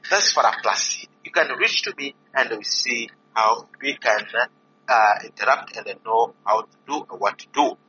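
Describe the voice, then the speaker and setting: A man from DR Congo, a priest and vocation director, making an earnest, pleading appeal to listeners with many pauses. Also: medium pitch, bright, forceful tone. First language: not English. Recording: dull lo-fi recording with faint noise.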